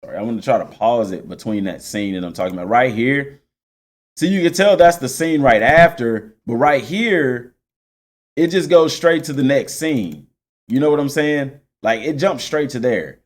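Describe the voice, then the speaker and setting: Man, medium pitch, casual gaming host, unscripted, explanatory, repeats points, talks straight to viewers. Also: lively intonation, normal speed. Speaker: video game channel host.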